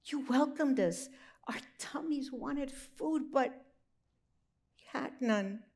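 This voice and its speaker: Woman as a plaintive, high-voiced mother cow. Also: slow, a little mellow. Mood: humble, grateful. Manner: mooing, plaintive. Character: gentle mother cow with a little calf.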